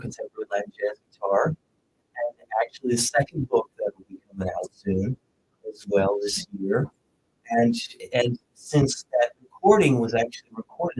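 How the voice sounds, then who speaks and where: A man's voice: mid-range voice, a little mellow, a little hiss or hum, low-quality recording. A man from Puerto Rico, a jazz guitarist chatting as a guest in an interview, easygoing and rambling. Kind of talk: casual interview chat.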